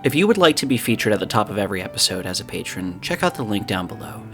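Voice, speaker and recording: A man's voice: on the low side, sing-song, racing, a bit bright. A man hosting a podcast, reading out an introductory announcement to listeners. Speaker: podcast host. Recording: music behind the voice.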